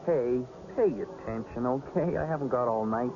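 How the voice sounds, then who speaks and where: An actor in a radio drama playing a wary young fortune-teller with a gruff, streetwise, suspicious manner. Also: male voice, medium pitch, moderate pace, natural voice. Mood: impatient, businesslike. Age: young adult. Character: wary, brusque young fortune-telling apprentice. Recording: dull, noisy old radio broadcast.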